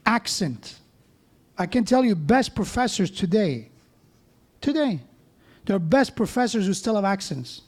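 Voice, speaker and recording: A man's voice: sits high, big pitch swings, measured pace, pauses often, a little mellow. A man preaching a sermon in a mosque, conversational, with joking asides and frequent restarts.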